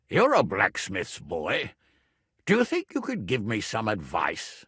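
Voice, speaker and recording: Blustering medieval nobleman, gravelly, hugely animated delivery, unhurried, lordly, hot-tempered, game dialogue. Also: mid-range voice, dry recording, studio quality, exaggerated voice. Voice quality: gravelly, rough. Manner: blunt, lordly, emphatic. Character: gruff, blustering, foul-mouthed medieval nobleman, hot-tempered but loyal. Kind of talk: dramatic video game voice acting.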